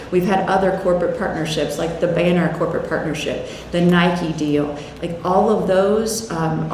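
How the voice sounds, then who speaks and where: Woman executive, low voice, conversational press conference answers, heavy room echo. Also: a little mellow, recorded from a distance. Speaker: university athletic director answering questions. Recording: very echoey room with faint noise.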